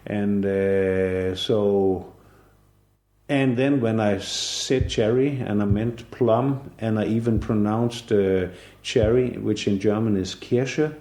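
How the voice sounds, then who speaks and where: A man in his sixties from southern Denmark, a native Danish speaker, talking in a relaxed interview with many pauses, fillers and restarts. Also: on the low side, echoey room. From southern Jutland, Denmark. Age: 60s. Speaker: artist interviewee.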